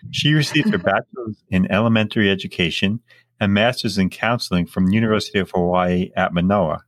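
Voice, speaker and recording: A man's voice: low-pitched, brisk, dry recording, poor audio. A middle-aged man, co-hosting a podcast with scripted lines and wry, joking asides. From Long Island, New York. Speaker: podcast co-host. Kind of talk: scripted introduction with casual asides.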